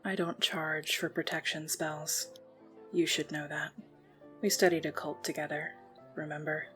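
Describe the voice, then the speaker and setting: A woman playing a gloomy but caring young occultist in an audio roleplay, terse and deadpan. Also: low-pitched, a little slow, soft background music. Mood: dry, reserved.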